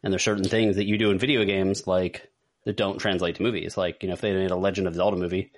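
A man's voice: low voice, little pitch movement, quick pace. A man co-hosting a podcast, talking in a casual, conversational style. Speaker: podcast host. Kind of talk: casual chat about movies and games.

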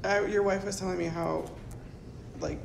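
A woman's voice: mid-range voice, fairly even intonation, moderate pace, creaky. A woman hosting a casual podcast chat in a players' lounge. She is chatty, laughs often and says "like" a lot. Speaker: podcast host interviewing players. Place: players' lounge at a training center. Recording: roomy lounge with room noise.

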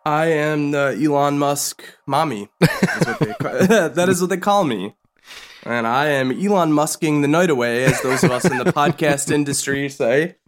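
A man's voice: fairly high pitch, expressive, normal speed. A man from Texas co-hosting a podcast, goofy and self-mocking, laughing often. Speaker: podcast co-host. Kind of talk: casual comedic banter.

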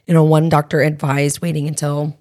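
A woman in her thirties with a raspy voice, chatting candidly as a podcast co-host and health coach, with plenty of fillers. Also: deep voice, little pitch movement, a little fast, darkish tone, no room sound, studio quality. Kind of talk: casual podcast chat.

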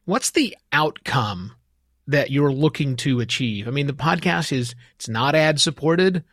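A man's voice: a little high, expressive, measured pace, fairly bright tone, dry recording, studio quality. A man hosting a podcast interview in a conversational, emphatic way, repeating words for emphasis.

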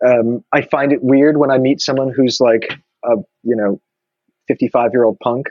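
Husky, dry-voiced New man. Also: mid-range voice, steady tone, normal speed, dry recording, narrow, tinny audio. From New York. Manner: reflective, many restarts and fillers. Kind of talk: casual conversation.

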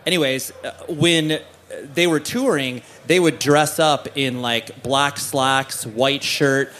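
A man's voice: medium pitch, steady tone, slow pace, fairly bright tone. A man hosting an interview, asking questions in a meandering way with many "uh"s and restarts. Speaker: podcast host. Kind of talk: casual interview chat.

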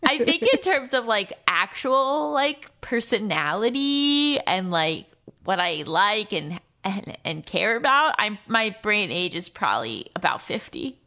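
A woman in her thirties chats casually on a podcast, her voice bright and clear. She laughs often and restarts her sentences as she talks. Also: mid-range voice, big pitch swings, a little slow. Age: 30s. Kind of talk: casual chat.

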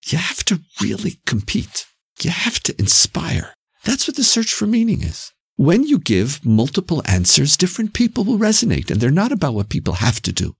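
This is a man with a Canadian accent and a husky, creaky voice that sits on the low side. He explains reflectively in conversation, swinging widely in pitch as he goes. He drives his points home emphatically, often repeating a phrase. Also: huge pitch swings, normal speed, no room sound, clean studio sound.

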